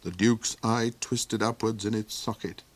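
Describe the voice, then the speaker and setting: A man narrating a fairy tale in an audiobook, with a theatrical, unhurried storytelling manner. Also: on the low side, steady tone. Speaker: reader.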